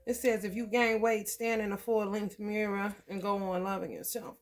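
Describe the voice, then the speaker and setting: A woman from the American Midwest giving a loose, profane tarot reading. Her throat is scratchy from allergies, and she keeps clearing it and laughing between thoughts. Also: medium pitch, little pitch movement, moderate pace. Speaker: tarot reader. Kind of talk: casual spiritual reading to camera.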